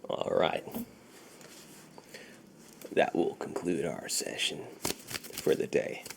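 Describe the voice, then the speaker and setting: A man speaking softly and breathily, often whispering, in an unhurried, reassuring ASMR roleplay as a friendly dealer. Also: extremely low pitch, lively intonation, creaky, a little hiss or hum. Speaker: ASMR performer. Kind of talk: soft-spoken ASMR roleplay.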